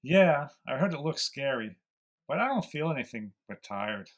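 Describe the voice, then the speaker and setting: A man playing an aging, weary, gentle mouse who speaks as a calm, fatherly mentor in an audio drama. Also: on the high side, normal speed. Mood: weary, reflective.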